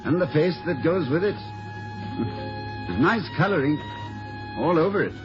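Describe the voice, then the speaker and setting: An actor playing a gallant, flustered middle-aged professor in 1950s radio comedy-drama, speaking haltingly with a gentle stammer. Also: male voice, a little high, animated, over music. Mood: breathless, smitten. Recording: muffled old 1950s radio recording.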